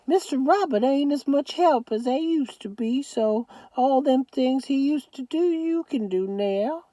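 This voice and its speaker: A woman voicing a loud, bossy elderly grandmother with a Southern accent. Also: high-pitched, lively intonation, measured pace, dry recording, pitched above the performer's own voice, low-quality recording. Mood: doting. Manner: shouting, weepy, domineering.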